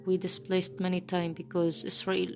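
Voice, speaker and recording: A woman in her twenties from Gaza, a journalist speaking English as a second language in a halting, reflective interview. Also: lowish voice, monotone, soft background music. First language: Arabic. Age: 20s. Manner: halting, reflective, with soft laughs. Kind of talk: conversational interview.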